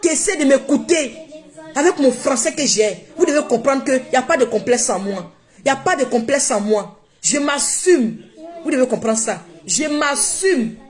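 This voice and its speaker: A woman's voice: high voice, animated, moderate pace. A woman whose first language is not French, speaking emphatically and repeating herself in a casual live-stream monologue on her phone. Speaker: social media live streamer.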